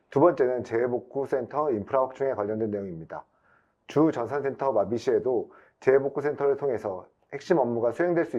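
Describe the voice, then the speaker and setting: A man with a dark, mellow voice of medium pitch gives a webinar presentation. He explains formally, point by point, and the recording is slightly dull. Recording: dull band-limited webinar audio.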